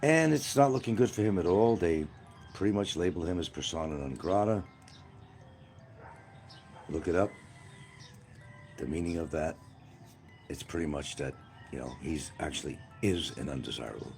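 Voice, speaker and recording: A man's voice: low-pitched, lively intonation, normal speed, creaky, pauses often, a little mellow, soft background music. A man hosting a rambling livestream outdoors by a field, answering comments in a blunt, combative way. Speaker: livestream host.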